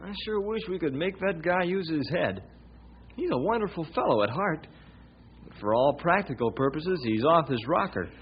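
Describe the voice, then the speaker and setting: An actor playing a worried, joking, loyal ranger sidekick in an old time radio western. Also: male voice, on the high side, expressive, normal speed. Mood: chummy. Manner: folksy, chummy, anxious. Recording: muffled, faintly noisy old radio recording.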